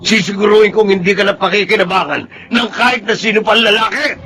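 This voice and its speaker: Man acting as a domineering, high-pitched older rich suitor. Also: fast talker, natural voice. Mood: jealous rage. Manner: domineering, blunt, threatening. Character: rich, older, possessive male bank executive, menacing suitor. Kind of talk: Filipino radio drama acting.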